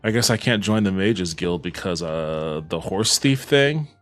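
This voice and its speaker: A man's voice: deep voice, animated, unhurried, fairly bright tone, faint music behind. A man streaming a game, talking casually and swearing freely as he plays, like a livestream host. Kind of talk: casual livestream chat while gaming.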